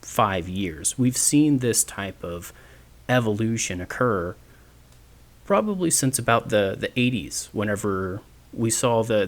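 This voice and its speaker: A man of twenty-nine hosting a solo podcast, talking in a rambling, self-deprecating way with many restarts. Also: on the low side. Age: 20s. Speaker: podcast host. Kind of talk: casual solo podcast monologue.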